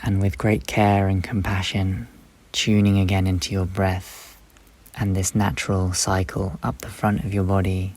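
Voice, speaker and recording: A man guides a meditation in a low voice with very little melodic movement. He gives gentle step-by-step instructions in short, unhurried phrases, leaving long pauses between them. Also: little pitch movement.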